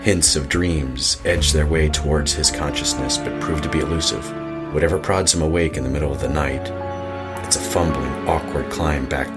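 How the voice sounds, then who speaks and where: A man performing the ominous narrator of a horror audio drama, in measured, solemn, literary storytelling over music. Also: extremely low pitch, fairly even intonation. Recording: music under the voice.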